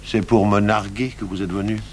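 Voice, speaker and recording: An actor playing a gruff, brooding, stubborn French police commissioner in a 1980s radio drama. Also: male voice, lowish voice, fairly even intonation, normal speed, close to an everyday voice. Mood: dry, guarded. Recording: noisy old radio broadcast recording.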